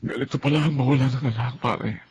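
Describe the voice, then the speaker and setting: A man's voice: medium pitch, fairly even intonation, darkish tone, a little hiss or hum, lightly acted. An actor playing a burdened, grieving father, speaking emotionally and heavily in radio melodrama style. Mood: weary grief. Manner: heavy, emotional, halting delivery. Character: grieving father, burdened, pleading with his wife to accept loss. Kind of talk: Filipino radio melodrama acting.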